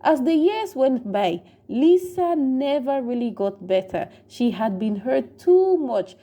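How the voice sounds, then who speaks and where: A woman's voice: high-pitched, big pitch swings, takes it slowly, mellow. A woman narrating a scripted story in a dramatic storytelling style. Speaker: storyteller, channel host.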